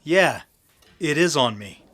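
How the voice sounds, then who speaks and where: An actor playing a confident, smooth-talking Old West gambler in a radio western, persuasive and a little needling. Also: male voice, a little high, lively intonation, slow, fairly bright tone, exaggerated voice. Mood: wry.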